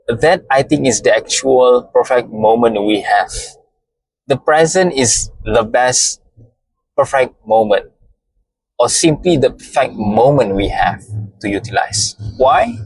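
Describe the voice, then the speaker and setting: A man with a Malaysian accent, a native Malay speaker, giving a slow, deliberate motivational talk and often repeating phrases. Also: medium pitch, husky, no echo, low-quality recording. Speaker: podcast host.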